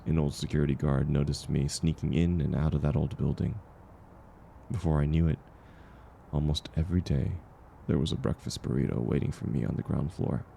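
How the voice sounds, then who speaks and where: A man playing a kind, world-weary drifter in an audio roleplay, talking gently and unhurriedly to encourage a stranger. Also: rumbling low, steady tone, a little fast, gravelly, slight background noise, exaggerated voice. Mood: easygoing, amused. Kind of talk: intimate comfort audio roleplay.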